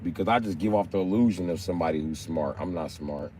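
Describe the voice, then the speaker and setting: Deep-voiced man, brash and blunt. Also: fast talker, a little mellow, a little hiss or hum. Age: late 30s. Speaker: live streamer. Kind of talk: casual profane livestream chat answering commenters.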